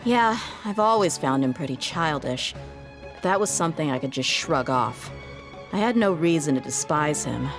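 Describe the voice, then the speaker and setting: A woman's voice: deep voice, animated, moderate pace. A woman playing a tough, frank desert mercenary in a video game, matter-of-fact and casually confident. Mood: bitter. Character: tough, frank female desert mercenary, loyal and self-assured. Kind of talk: video game character acting. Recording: background music under the voice.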